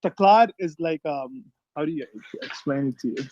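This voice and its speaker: A man's voice: on the high side, darkish tone. Hesitant man on a dull phone line. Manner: hesitant, halting, many fillers. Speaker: caller.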